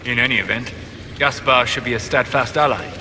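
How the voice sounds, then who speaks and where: An actor playing a calm, scholarly elven mage in a video game, speaking in a measured, formal and articulate way. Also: male voice, mid-range voice, close to an everyday voice. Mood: composed, wry. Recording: game audio with background noise.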